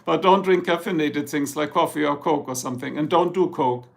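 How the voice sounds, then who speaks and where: A man's voice: on the high side, a little fast, gravelly. A man from Germany with a German accent, chatting casually to his livestream viewers, rambling and joking and slipping into German now and then. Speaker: livestream host. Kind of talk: casual livestream chat reading comments. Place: home in Ohio, US.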